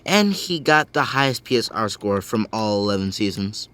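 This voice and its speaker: A man's voice: lowish voice, big pitch swings, moderate pace, a bit bright. An actor playing an easygoing, enthusiastic teenage boy with casual slangy talk in a family audio drama. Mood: easygoing, keen.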